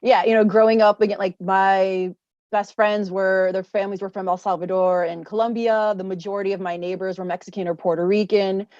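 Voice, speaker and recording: A woman's voice: mid-range voice, fairly even intonation, fairly quick, darkish tone, no room sound. Casual woman interviewer, dull dry sound. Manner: casual, hesitant, many "um" and "like" fillers. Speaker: author interviewing a guest. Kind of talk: conversational interview.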